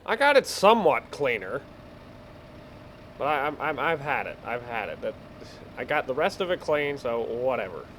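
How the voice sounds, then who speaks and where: A man casually telling rambling stories while he plays a game, halting and easily distracted. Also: medium pitch, sing-song, fairly bright tone. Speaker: gamer telling stories over gameplay. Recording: clear background vehicle engine noise. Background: game vehicle engine sounds.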